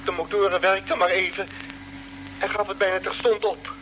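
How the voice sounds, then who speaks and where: A man's voice: high voice, fairly even intonation, moderate pace, sharp tone, a little hiss or hum, rough recording. An actor playing a guilt-ridden spaceship crewman in an old radio play, stammering, pleading and overwrought, over a radio message. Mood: despairing confession. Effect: heard as a radio or tape message. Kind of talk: mid-century radio play acting.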